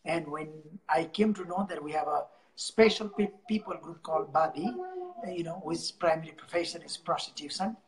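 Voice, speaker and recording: A man from Nepal with a Nepali accent, a charity founder telling his story in an interview, halting and repeating words. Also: on the high side, a crowd in the background, low-quality recording.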